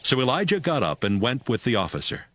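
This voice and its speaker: A man's voice: medium pitch, sing-song, a little fast, fairly bright tone. A man reading scripted narration for a dramatized audio Bible as its storyteller.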